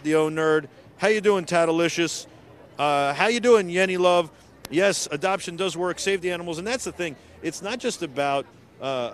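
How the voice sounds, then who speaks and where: A man's voice: on the high side, a little hiss or hum. A middle-aged man from New York City hosting a casual live stream outdoors in a city park, chatty and upbeat, with lots of fillers. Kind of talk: casual live walk-around coverage.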